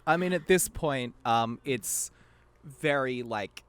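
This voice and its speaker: A man's voice: medium pitch, slow pace, a bit bright, clean tone. A young man chatting casually as a podcast guest, giggly and self-deprecating. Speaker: podcast guest, amateur voice actor. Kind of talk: casual chat.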